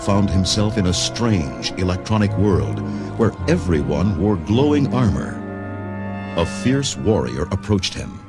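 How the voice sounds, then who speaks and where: A man's voice: low-pitched, animated, measured pace, over loud music. A man narrating a read-along adventure story in a dramatic, scripted style.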